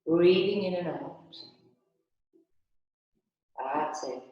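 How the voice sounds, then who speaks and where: Slow, low-voiced woman in an echoey room. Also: dull audio. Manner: calm, soothing, unhurried with long pauses. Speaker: yoga and meditation instructor. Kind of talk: guided meditation and restorative yoga instruction.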